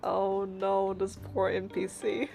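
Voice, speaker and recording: A woman's voice: medium pitch, little pitch movement, slow pace, gravelly, a little mellow, soft background music. Woman casually reading along with a game. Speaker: game streamer reading the heroine's lines and narration. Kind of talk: casual playthrough commentary and read-aloud narration.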